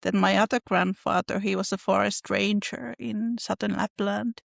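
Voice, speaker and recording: A woman's voice: mid-range voice, fairly even intonation, normal speed, creaky, no room sound. A woman from northern Finland with a Finnish accent, a guest on a podcast, answering thoughtfully with frequent fillers and restarts over a video call. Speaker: podcast guest, illustrator and folklorist. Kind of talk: casual interview answers.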